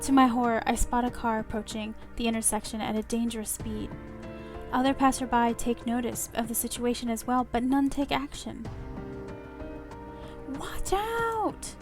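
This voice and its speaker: Woman, high voice, expressive story narration, reading a visual novel, music clearly behind. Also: fairly quick. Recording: music under the voice. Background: clear background music.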